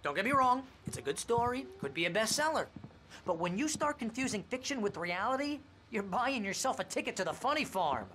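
Loud-mouthed talent agent, high nasal-sounding voice, bouncy delivery, video game. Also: male voice, big pitch swings, fairly quick, a little hiss or hum, heavily acted. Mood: exasperated. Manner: blustering, wisecracking. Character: brash fast-talking male literary agent. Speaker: agent character.

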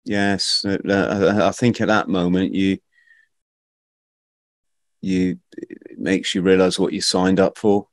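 Male podcast host, middle-aged, lowish, jokey and relaxed, dry close studio mic. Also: takes it slowly, long pauses, no room sound. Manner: laughing, jokey asides, relaxed banter. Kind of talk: casual interview chat. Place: home studio. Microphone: studio mic with headphones.

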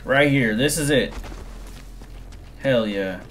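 A man in his twenties commentating on a game through a headset, excitable and slangy, repeating himself. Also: mid-range voice, slow. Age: 20s. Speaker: gaming streamer. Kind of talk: casual gameplay commentary.

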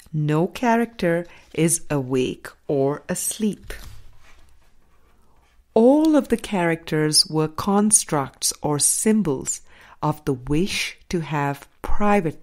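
A woman narrates a spiritual audiobook at a very unhurried pace. Her voice sits a little on the low side, and she phrases each thought deliberately, leaving pauses between them. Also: a little mellow. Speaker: audiobook reader.

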